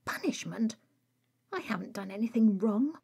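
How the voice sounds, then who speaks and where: A woman's voice: mid-range voice, lively intonation, normal speed, gravelly, a little mellow, breathy edge, pitched above the performer's own voice, heavily acted. A woman voicing a petulant, teasing male vampire with a whiny, indignant way of protesting, in an audio story. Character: petulant, defiant, teasing male vampire, reckless party lover.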